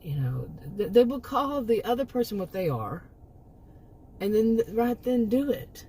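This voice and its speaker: A woman's voice: on the high side, big pitch swings, normal speed, warm tone, slight background noise, poor audio. A middle-aged woman hosting her own channel, giving a rambling, emphatic opinion monologue with many restarts and asides while she is losing her voice. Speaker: commentary channel host.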